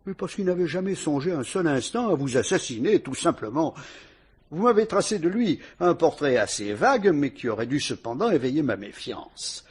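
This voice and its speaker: An actor playing a shrewd police inspector who questions a suspect with dry irony, in a vintage radio drama. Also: male voice, on the high side, sing-song, moderate pace, slightly rough. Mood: probing, ironic. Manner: sly, probing, mocking interrogation.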